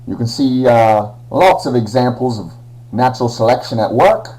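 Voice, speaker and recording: A man's voice: medium pitch, lively intonation, normal speed, darkish tone, poor audio. A man presenting a playful science lesson in a put-on Australian accent, full of slang. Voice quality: slangy Aussie put-on, drawling. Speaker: educational presenter.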